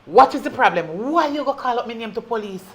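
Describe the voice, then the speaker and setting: A woman's voice: fairly high pitch, animated, quick pace, natural voice. A woman with a Jamaican accent playing a jealous, hot-tempered young woman who barks curt orders in patois. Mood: threatening. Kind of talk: low-budget patois drama.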